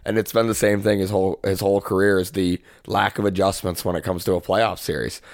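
A young man co-hosting a sports podcast, chatting in a relaxed and loose way. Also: low voice, brisk, no echo, clean studio sound. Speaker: podcast co-host. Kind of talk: casual sports chat.

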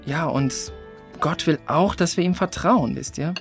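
A man playing a gentle, thoughtful, friendly character in a children's audio drama, explaining things a little hesitantly. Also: on the high side, unhurried, gravelly, faint music behind. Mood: warm, reflective.

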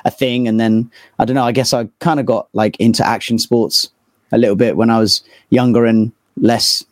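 A man's voice: medium pitch, little pitch movement, moderate pace, no echo. A man in his thirties with a southern English accent, chatting casually as an interview guest in an informal, rambling, hesitant way. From England. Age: 30s. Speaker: interview guest, metal band vocalist. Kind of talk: casual chat.

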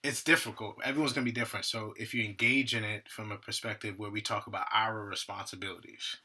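A man's voice: on the low side, fairly even intonation, fast talker, bright, forceful tone. A man, a therapist guest on a podcast, talking casually and emphatically, often checking in with "right?".

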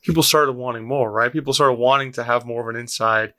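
A man's voice: mid-range voice, fairly even intonation, fast, pushed and bright. A man hosting a casual podcast interview, encouraging and affirming his guest. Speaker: podcast host.

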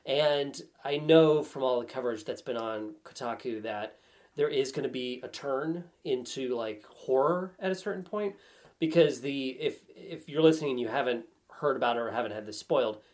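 A man's voice: mid-range voice, moderate pace, a little mellow. A man chatting casually as a podcast guest, with a wry, joking way of talking. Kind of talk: casual chat about video games.

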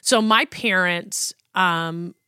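A woman's voice: on the low side, drawn-out words, pushed and bright, clear, resonant tone, no room sound, clean studio sound. A woman in her thirties, a worship pastor hosting a podcast, chatting about her life with many ums and likes. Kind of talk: casual personal storytelling.

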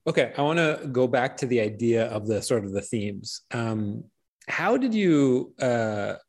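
A man's voice: medium pitch, lively intonation, a little slow, a little mellow. A man interviewing a filmmaker, asking long, thoughtful questions full of restarts. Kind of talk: conversational interview.

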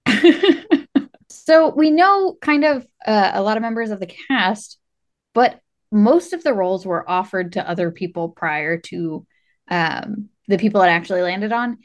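A woman's voice: medium pitch, animated, a little slow. A woman co-hosting a casual film discussion podcast, speaking in an enthusiastic, chatty way. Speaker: podcast co-host.

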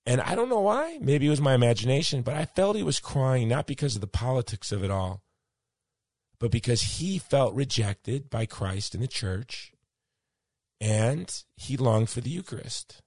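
A man hosting a podcast, rambling through a personal story and sign-off, his voice hoarse and fading. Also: medium pitch, animated, normal speed, a little mellow, no room sound, clean studio sound. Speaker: podcast host. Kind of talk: casual monologue wrapping up a podcast.

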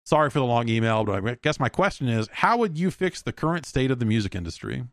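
An American man hosting a podcast alone in a casual, rambling, halting way, thinking aloud and speaking bluntly. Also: mid-range voice, very animated, no room sound, clean studio sound. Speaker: podcast host. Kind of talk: casual solo podcast talk, reading listener emails.

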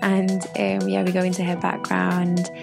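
A woman's voice: fairly low pitch, completely flat delivery, normal speed, vocal fry, over music. A woman hosting a podcast, opening the talk in an effusive way with lots of praise, at her guest's home. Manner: effusive, full of praise and laughter. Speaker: podcast host. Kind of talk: casual interview. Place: guest's home in Bali at sunset.

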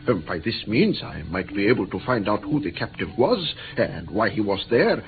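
An actor playing an anxious interpreter who recounts an ordeal in earnest, precise, formal phrases in a radio drama. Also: male voice, on the low side, big pitch swings, moderate pace. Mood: earnest, animated. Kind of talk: radio drama acting, animated storytelling. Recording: tinny old radio recording, background hiss.

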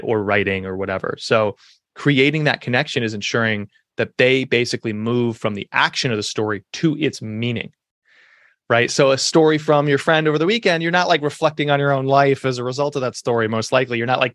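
A man in his thirties, an author and speaker, talking fast and emphatically in an interview, with lots of restarts. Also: mid-range voice, steady tone, a bit bright, no echo, clean studio sound. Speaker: podcast guest, author and speaker. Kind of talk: conversational interview.

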